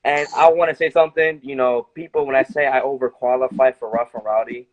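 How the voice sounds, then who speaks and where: A man with a Brooklyn New York accent, tired after training. He talks in a laid-back, streetwise way full of slang. His voice sits at a middle pitch. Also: fairly even intonation, brisk, highs cut off. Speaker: boxer, interview guest. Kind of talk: casual interview talk.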